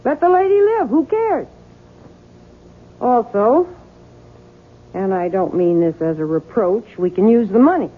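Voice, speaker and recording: A woman's voice: a little high, big pitch swings, measured pace, pauses often. An actress playing a plain-spoken, nosy older American mother-in-law in a radio drama, chatty, blunt and insistent. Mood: coaxing. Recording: muffled, noisy 1970s radio broadcast.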